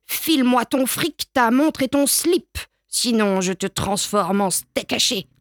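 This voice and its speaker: A woman's voice: on the high side, animated, unhurried, dry recording, clean studio sound. A woman voicing a big, taunting male goat bully in a children's story. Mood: sneering, menacing. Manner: taunting, threatening.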